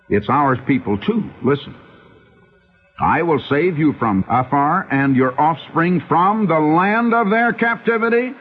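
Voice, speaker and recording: A man preaching an urgent radio sermon and reading scripture, his voice swinging between emphatic high peaks and low, quiet asides. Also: husky. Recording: narrow tinny old radio broadcast.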